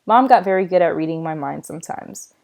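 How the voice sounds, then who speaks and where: A woman's voice: fairly low pitch, lively intonation, brisk, vocal fry, a little mellow. Woman reading a children's story aloud. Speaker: reader of a children's novel. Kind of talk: read-aloud storytelling with acted dialogue.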